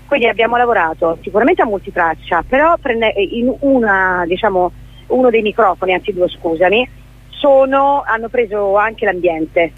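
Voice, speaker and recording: A woman's voice: medium pitch, expressive, normal speed, no echo. A woman guest speaks over a thin, band-limited telephone connection. She explains her work off the cuff in a radio interview, often backing up to correct herself. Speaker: theatre director, interview guest. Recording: narrow, tinny telephone line.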